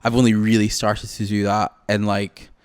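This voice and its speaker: Late-20s Scottish man, low-pitched, dry close mic, loose and self-mocking podcast co-host. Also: flat delivery, moderate pace, clear, resonant tone, dry recording. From Scotland. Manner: rambling, joking, self-deprecating. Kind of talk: casual chat.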